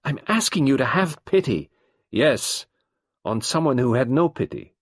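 A man's voice: mid-range voice, lively intonation, a little slow, husky, dry recording, clean studio sound, voice pushed up. A man reading the part of a proud young woman who pleads passionately and intensely. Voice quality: passionate, imploring.

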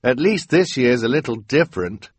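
A man's voice: mid-range voice, very animated, normal speed, breathy edge, no echo, pitched above the performer's own voice. A man voicing a resigned young scout in a storybook reading. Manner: resigned, offhand.

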